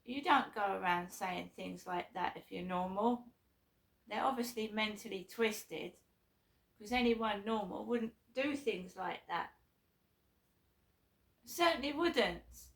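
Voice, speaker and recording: Slow, halting woman with a sore throat. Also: medium pitch, vocal fry, a bit bright. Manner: halting and rambling, many ums and restarts. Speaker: vlogger. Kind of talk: casual talk to camera.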